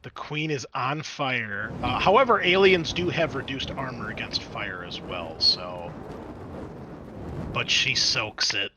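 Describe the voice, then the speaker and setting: A man's voice: medium pitch, lively intonation, takes it slowly, noisy background. A man narrating a tabletop roleplaying game as game master, moving between dramatic scene-setting and casual asides.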